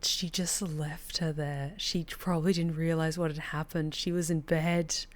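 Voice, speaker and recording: A woman's voice: low-pitched, little pitch movement, unhurried. A woman reacting to a game and reading along as she plays. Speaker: streamer playing and reacting to a visual novel.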